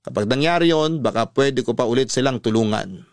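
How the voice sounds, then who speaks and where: A man's voice: medium pitch, expressive, a little fast, no room sound. Dry, close-miked male story narrator. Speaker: radio drama narrator. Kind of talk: scripted first-person narration of a listener's letter.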